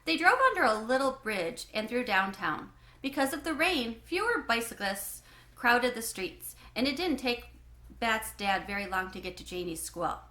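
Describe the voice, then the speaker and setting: A woman narrating a children's book in an expressive, animated way, with clear phrasing. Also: fairly high pitch, normal speed, echoey room. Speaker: read-aloud host.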